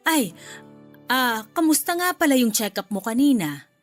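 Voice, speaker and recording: A woman's voice: high-pitched, big pitch swings, slow pace, faint music behind, exaggerated voice. A woman with a Filipino accent playing a chatty, blunt middle-aged mother-in-law in a radio drama.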